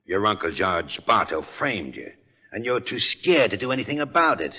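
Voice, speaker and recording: An actor playing a tough, scheming American convict in 1940s radio style, with slangy, needling gangster talk and a rough voice. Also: male voice, on the low side, sing-song, moderate pace. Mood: defiant, bitter. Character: tough, scheming male convict goading his cellmate. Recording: muffled old radio recording, long hollow reverb.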